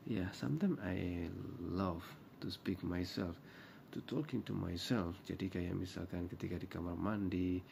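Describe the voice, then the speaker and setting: A man's voice: low-pitched, a little slow, vocal fry, few pauses, darkish tone, a little hiss or hum. A man with a Javanese accent giving casual vlog tips, switching between English and Indonesian with many hesitant fillers. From Java, Indonesia. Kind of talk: casual commentary.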